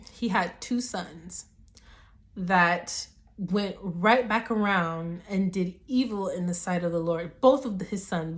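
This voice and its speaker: A woman minister speaks slowly, her voice a little raspy and deep. She teaches a devotional message, starting soft and hushed and building to emphatic preaching.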